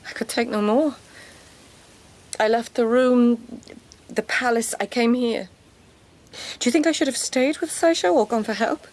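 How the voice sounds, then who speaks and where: An actress playing an anxious, dignified palace lady in an audio drama, speaking formally with a troubled, breathy manner. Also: on the high side, a little slow, pauses often, darkish tone, close to an everyday voice. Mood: troubled, defensive.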